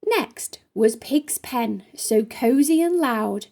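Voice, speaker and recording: Female storytime reader: high, relaxed voice, unhurried delivery of rhyming kids' tales. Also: creaky. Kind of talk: children's picture book read-aloud in rhyme.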